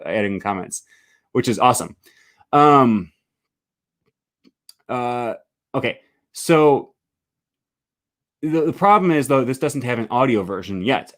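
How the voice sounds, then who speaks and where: A man whose native tongue is English, a language teacher casually explaining his lessons on screen, rambling and correcting himself. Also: medium pitch. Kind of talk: screen-share walkthrough.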